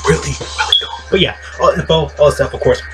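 A young man giving casual mobile game tips from a small room on a webcam, rambling and repeating himself, his voice a little deep and strained. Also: fast talker. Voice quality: voice a bit deep and strained today. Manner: rambling, repetitive, upbeat. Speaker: mobile game content creator. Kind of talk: casual game guide commentary. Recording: background music throughout.

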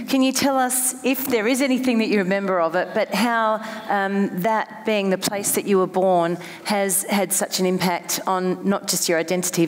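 Panel moderator, woman, polite and clear, long hall reverb. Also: medium pitch. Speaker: panel facilitator, radio presenter. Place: large hall on stage.

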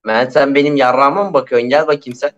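A man's voice: mid-range voice, fairly even intonation, fast, a bit bright, lightly acted. A man playing a crude, rattled local in an online roleplay game, speaking vulgar slang in a clowning way over a dull voice chat. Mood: cocky, threatening. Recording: dull lo-fi voice chat, keyboard typing.

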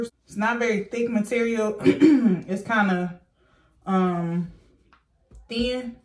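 A woman in her thirties chatting casually to camera in a vlog from a small bedroom, relaxed and talkative. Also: mid-range voice. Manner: relaxed, chatty, uses lots of "y'all". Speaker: vlogger.

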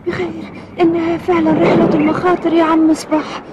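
Very high-pitched plucky little boy. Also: flat delivery, fast, lo-fi sound, very noisy. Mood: eager. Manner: spirited, earnest. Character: plucky, eager young boy adventurer. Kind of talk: dubbed cartoon acting in formal Arabic.

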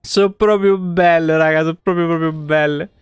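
A young man doing casual, animated live game commentary in slangy language with frequent swearing. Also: fairly high pitch, moderate pace, clear, resonant tone, no echo. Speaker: game streamer.

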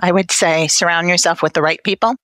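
A woman's voice: lowish voice, steady tone, brisk, a bit bright, no room sound. A woman with a Brooklyn accent speaking candidly and wryly in a personal interview. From Brooklyn, New York, United States. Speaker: interview guest, patient family advocate.

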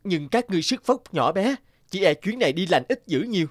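Chàng anh hùng trẻ, giọng cao. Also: male voice, sits high, expressive, brisk, no room sound, studio quality. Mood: resolute. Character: brave, earnest young husband, fairy-tale hero. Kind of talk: cartoon fairy-tale dubbing. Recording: music under the voice.

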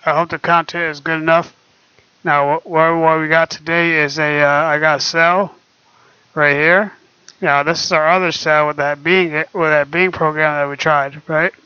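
A young man talking quietly and hesitantly with many fillers, recorded on his laptop microphone at home. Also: fairly high pitch, smooth, no echo. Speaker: vlogger. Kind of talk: casual screen-recorded talk. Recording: dull lo-fi laptop mic.

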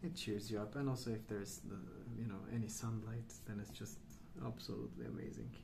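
A man speaking softly, at times near a whisper, in an unhurried, musing ASMR ramble while colouring. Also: fairly low pitch, animated, gravelly, noisy background. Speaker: host of a colouring ASMR channel. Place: indoors at a wooden table.

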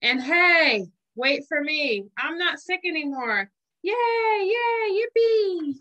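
A naive little schoolboy from a storybook, played by a woman, high and bright. He questions and exclaims with very mobile pitch. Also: expressive, slow pace, dull audio, heavily acted. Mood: eager. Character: naive young schoolboy Simon in a picture book.

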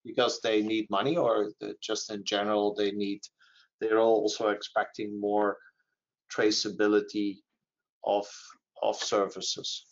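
A man's voice: fairly low pitch, level tone, measured pace. A man moderating a webinar, asking unscripted questions in hesitant, piecemeal phrases. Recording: dull lo-fi online audio.

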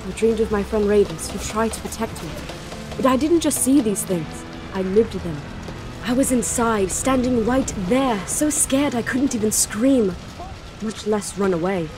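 A woman's voice: medium pitch, animated, unhurried, over music, natural voice, rough recording. A young woman playing an anxious, sorrowful princess in an audio drama, earnest and melancholy. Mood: melancholy, haunted. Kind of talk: audio drama acting and first-person narration.

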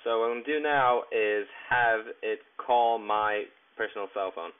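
Through a narrow, phone-like recording, a man with a lowish voice presents a technical tutorial. He explains each step plainly and instructionally, in halting phrases. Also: clean tone. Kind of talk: step-by-step tech tutorial narration. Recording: tinny, narrow phone-like sound.

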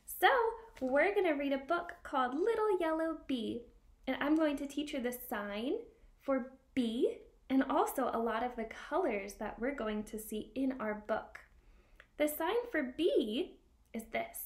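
A young woman reading a picture book aloud for small children and teaching signs, in an animated, exaggerated sing-song manner. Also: sits high, measured pace. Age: young adult. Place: small room at home by a window.

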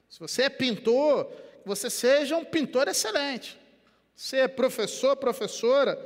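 An older man from Rio de Janeiro preaching a sermon in Brazilian Portuguese on a headset mic in a church, animated, dropping to quiet and rising again. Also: very high-pitched. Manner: animated preaching with wide gestures, swings between quiet and loud. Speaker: pastor. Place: church sanctuary. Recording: long church hall reverb.

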